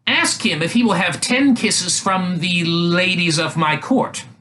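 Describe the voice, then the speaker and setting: Man putting on a high, haughty young princess. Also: unhurried, sharp tone, slight background noise. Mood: imperious. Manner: imperious, affected. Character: haughty, spoiled young princess in a fairy tale.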